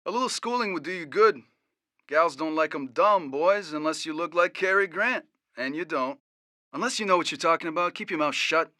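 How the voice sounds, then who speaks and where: A man's voice: fairly high pitch, sharp tone, no echo, studio quality. A man playing a stern American squad leader in a war game, firmly scolding and rallying his soldiers. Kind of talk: video game unit voice lines.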